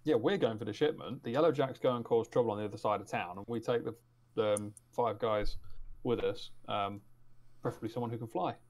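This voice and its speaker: A man's voice: fairly low pitch, a little fast, a little mellow. A man playing a tabletop roleplaying game, talking casually in character as he plans. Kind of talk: casual in-character planning talk.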